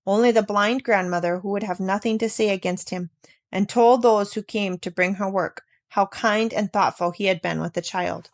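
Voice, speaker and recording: Female audiobook reader, medium pitch, expressive storybook narration, very dry recording. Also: moderate pace, no room sound.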